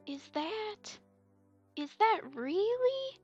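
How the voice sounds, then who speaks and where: Woman as a high-pitched, earnest little pony girl. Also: big pitch swings, extremely slow, a little mellow, soft background music, exaggerated voice. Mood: excited, curious. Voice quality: childlike, high. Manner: hesitant, earnest, stammering over confessions. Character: young filly, a pony girl, earnest and shy. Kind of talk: fan audio drama acting. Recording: slightly dull, lo-fi microphone.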